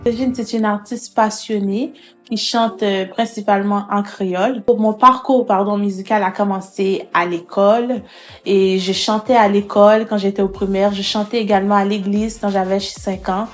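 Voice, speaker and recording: A woman's voice: mid-range voice, steady tone, moderate pace, soft background music. A young woman singer, answering interview questions casually, with hesitant fillers and restarts.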